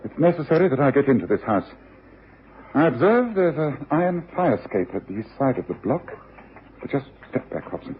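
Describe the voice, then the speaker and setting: An actor playing a shrewd, secretive British gentleman detective with a brisk, authoritative manner in old radio drama style. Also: mid-range voice, very animated, close to an everyday voice. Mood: brisk, commanding. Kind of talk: 1950s-60s radio drama acting. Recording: muffled, noisy old radio recording.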